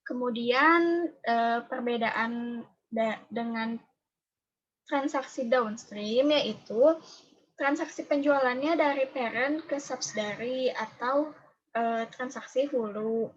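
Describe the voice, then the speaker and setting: Dull-sounding recording, halting young woman. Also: fairly high pitch. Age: young adult. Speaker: student presenter. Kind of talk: explanatory class presentation.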